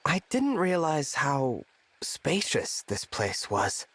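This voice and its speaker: A man playing a gentle, earnest young divine hero in a video game, soft-spoken and formal in manner. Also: fairly high pitch, huge pitch swings, slow, slightly rough, exaggerated voice. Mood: thoughtful, sincere. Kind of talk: scripted video game voice acting.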